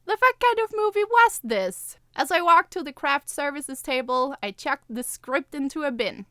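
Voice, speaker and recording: A woman's voice: high-pitched, animated, moderate pace, sharp tone, no echo, clean studio sound. A woman co-hosting a podcast, with a playful manner, in casual chat and story reading. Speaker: podcast co-host and co-writer.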